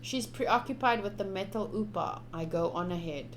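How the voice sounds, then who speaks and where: A woman's voice: medium pitch, normal speed, a little hiss or hum. A young woman streaming a game, informal and chatty, switching between casual commentary and reading aloud.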